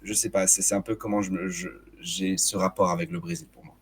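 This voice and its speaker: Guest, young male, light unplaceable accent in French, lowish pitch, hesitant with many restarts. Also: fairly even intonation, brisk, husky. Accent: slight hard-to-place foreign accent in French. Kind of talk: casual discussion.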